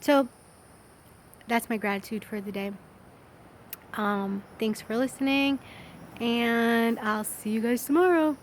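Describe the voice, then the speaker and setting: A woman's voice: on the high side, slow, long pauses, slight background noise. A woman in her thirties talking candidly and casually, rambling a little as she thinks aloud in a personal, reflective vlog. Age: 30s. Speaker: vlogger. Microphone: phone.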